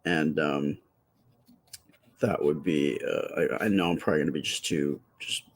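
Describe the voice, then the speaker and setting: A man with a quiet, soft voice chatting casually with viewers during a live stream while he draws. He rambles and stops to restart his sentences, speaking close to the microphone. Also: extremely low pitch. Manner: rambling, halting, with many fillers and restarts.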